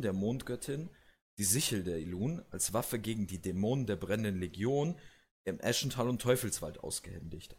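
A man's voice: fairly low pitch, darkish tone, slight background noise. A man with a cold, casually explaining video game lore as host, with many hesitations and restarts. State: has a cold.

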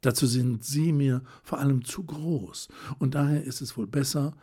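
A man voicing an ecstatic aristocratic lady, a baroness who speaks in a hurried, breathless rapture, in an audiobook reading. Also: mid-range voice, slightly rough, voice pushed up, close to an everyday voice. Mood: fervent.

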